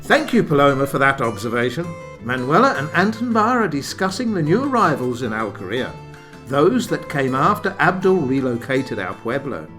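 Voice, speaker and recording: A man's voice: medium pitch, very animated, moderate pace, fairly bright tone, faint music behind. A man giving scripted comic narration for a radio play, in a wry, mock-grand manner. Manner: theatrical, wry.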